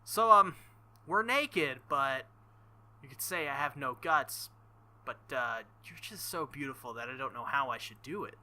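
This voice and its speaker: Bright, forceful man doing animated game commentary. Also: mid-range voice, unhurried. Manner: animated, sweary, joking asides. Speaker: video game playthrough host. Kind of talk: gameplay commentary reading visual novel lines aloud.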